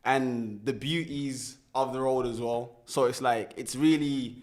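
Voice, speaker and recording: Young man, mid-range voice, relaxed, reflective, restarts often. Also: mid-range voice, a little slow. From Birmingham, England. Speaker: guest, musician. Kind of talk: casual interview.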